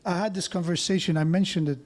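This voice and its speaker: A man's voice: sits high, fairly even intonation, quick pace, a little mellow. A man preaching a sermon in a mosque, conversational, with joking asides and frequent restarts.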